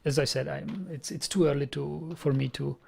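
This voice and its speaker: A man's voice: fairly high pitch, steady tone, moderate pace, creaky, darkish tone, slightly rough. A young man with a Czech accent, chatting casually on a programming stream, often repeating words as he thinks aloud. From Czech Republic. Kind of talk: casual live-stream chat.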